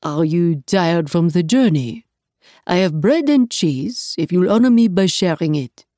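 A woman's voice: on the low side, expressive, slow, mellow, no room sound, studio quality. A woman voicing a small, passionate and proud medieval French alchemist man, courteous and declamatory, in a storybook reading. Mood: polite.

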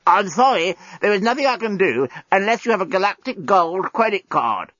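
Audiobook robot receptionist, played by a man: high-pitched, croaking, officious, swooping from wounded dignity to outrage. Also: big pitch swings, moderate pace, a bit bright, no room sound, lo-fi sound, exaggerated voice. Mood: pained, officious. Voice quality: strangled, croaking. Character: snooty robot desk clerk, officious, easily outraged.

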